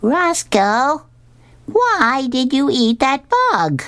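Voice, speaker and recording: A woman's voice: sits high, sing-song, extremely slow, a bit bright, no room sound, voice pushed up, heavily acted. A woman voicing a tiny, innocent, plaintive young boy from a comic strip.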